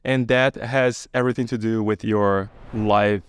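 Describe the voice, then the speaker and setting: A man hosting a podcast, asking curious, gently probing questions with some fillers. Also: on the low side, fairly even intonation, unhurried, clear, resonant tone. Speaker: podcast host. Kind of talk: interview questions. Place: podcast studio.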